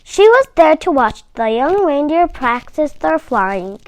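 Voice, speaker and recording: A woman reading a children's story aloud with expressive, deliberate delivery and dramatic emphasis. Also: sits high, dry recording.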